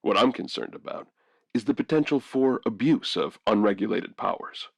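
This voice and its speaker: An actor playing a guarded male CEO giving measured, reassuring corporate spin in a TV interview. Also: fairly low pitch, animated, gravelly, slightly rough, dry recording, studio quality, heavily acted. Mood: assured.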